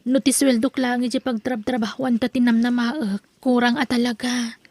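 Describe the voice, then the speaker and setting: Woman playing a patient, weary young Ilocano-accented daughter, high-pitched. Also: flat delivery, normal speed, a little mellow. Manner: patient, apologetic. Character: tired young woman working in the city to support family.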